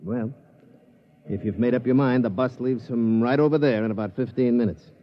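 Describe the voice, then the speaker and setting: A man's voice: mid-range voice, normal speed, natural voice. An actor playing a streetwise male casino tout who talks in slang in a 1950s radio drama. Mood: wheedling. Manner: slangy, conspiratorial tough talk. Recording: muffled vintage radio recording.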